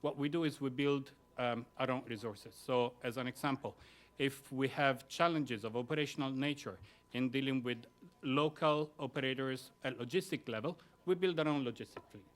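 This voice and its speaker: Male panelist, measured and pausing, mid pitch, conference room. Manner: measured, with frequent filler pauses. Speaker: relief agency panelist. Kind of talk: panel answer to audience question.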